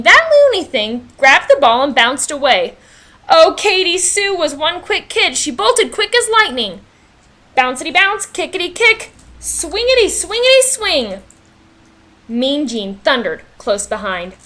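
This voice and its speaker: Animated high-voiced woman reading a storybook. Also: unhurried. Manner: animated, dramatic, sing-song rhymes. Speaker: read-aloud storyteller. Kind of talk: expressive children's picture book narration. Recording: voice-over with music underneath. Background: background music.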